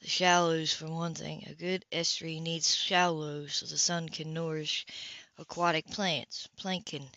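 A woman voicing an easygoing, flirtatious male sailor in an audiobook, relaxed and teasing. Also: sits low, a little slow, dry recording, voice pushed down, full character voice. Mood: calm, explaining. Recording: dull, lo-fi audio.

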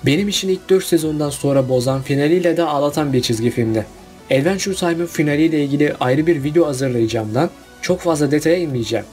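A man's voice: a little high, fast talker, a little mellow, faint music behind. A man narrating a casual countdown video about cartoon finales in a chatty voice-over.

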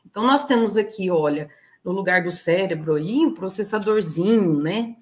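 Woman, Brazilian accent, middle-aged, mid-pitched voice, informal explanatory lecture, video call. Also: normal speed. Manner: explanatory, frequent check-in tags. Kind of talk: informal online lecture with slides. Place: indoor room, online talk.

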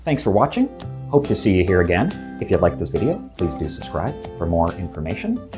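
A man's voice: low-pitched, brisk, over music. A man in his thirties, a veterinarian, hosting a product unboxing in a living room and talking with eager, chatty enthusiasm. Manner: enthusiastic, chatty, lively exclamations. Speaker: veterinarian and channel host. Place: living room with wooden table, indoors.

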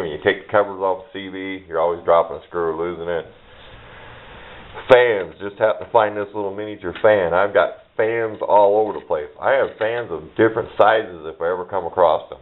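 A middle-aged man chatting casually and unscripted as he shows his hobby collection, in a folksy, plainspoken, rambling way. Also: low voice. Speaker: hobbyist showing his radio parts collection. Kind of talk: casual unscripted show-and-tell. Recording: dull lo-fi camera microphone.

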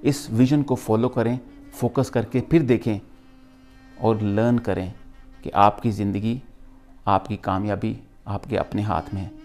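Middle-aged Pakistani male, lowish pitch, earnest motivational vlogger, emphatic deliberate phrasing, instrumental music underneath. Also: steady tone, darkish tone. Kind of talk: motivational talk to camera.

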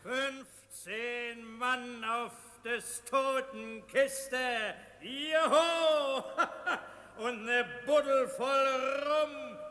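An actor playing an eerie, taunting pirate ghost in a radio play, in drawn-out wailing singsong. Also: male voice, extremely high pitch, steady tone, sharp tone, heavily acted. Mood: mocking, eerie. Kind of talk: German radio play acting. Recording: long echoing reverb.

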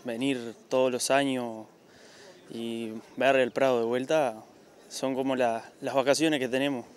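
Young Uruguayan man, mid-pitched. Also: steady tone, unhurried. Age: young adult. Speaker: interviewee. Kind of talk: spoken interview answer. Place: outdoors at a showground. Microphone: handheld interview microphone.

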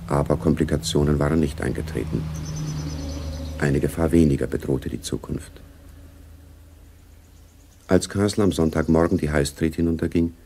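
A man's voice: extremely low pitch, warm tone, a little hiss or hum. A man narrating a spy novel in a German radio drama, as a sober literary storyteller.

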